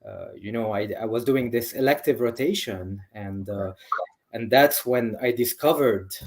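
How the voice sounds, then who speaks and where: A man of medium pitch speaks as a doctor and interview guest. His answers are conversational and enthusiastic, explaining things in an informal way. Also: measured pace, a little mellow. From Lebanese family, grew up in several countries.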